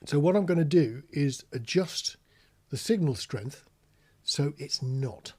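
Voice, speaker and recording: A man's voice: on the high side, lively intonation, slow, dark tone, breathy edge. A British man giving an informal, practical tutorial, explaining things plainly with anecdotes as an instructor. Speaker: marine radio instructor.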